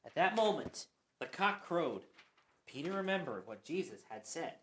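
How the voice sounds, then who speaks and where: Woman, scripture reader, low voice, animated reading, roomy echo. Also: moderate pace, a little hiss or hum. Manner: expressive reading with occasional stumbles. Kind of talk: dramatic Bible reading.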